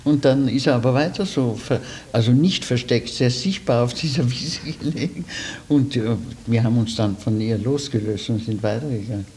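A man casually recollecting, in a halting, self-correcting way. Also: mid-range voice, a little mellow, a little hiss or hum.

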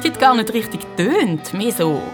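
A woman's voice: on the high side, sing-song, normal speed, loud music behind the voice. A woman narrating a children's radio play with a Swiss German accent, explaining things like an engaging storyteller.

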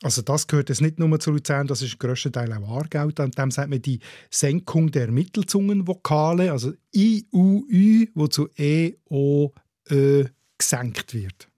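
A man with a Swiss German accent, explaining dialect features in a measured way and spelling out sounds slowly. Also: a little high, animated, mellow, no room sound, clean studio sound. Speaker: dialect expert.

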